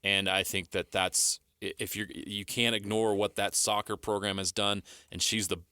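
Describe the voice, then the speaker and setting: A man's voice: lowish voice, level tone, moderate pace, sharp tone, clean tone, dry recording, clean studio sound. A man co-hosting a sports talk show, giving emphatic, opinionated commentary as he counts down a list.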